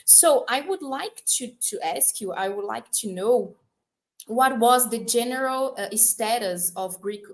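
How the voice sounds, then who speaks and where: A woman's voice: on the high side, animated, a little slow. A woman whose first language is Portuguese asks a scholarly question at an academic event, hesitating and correcting herself. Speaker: event moderator and student.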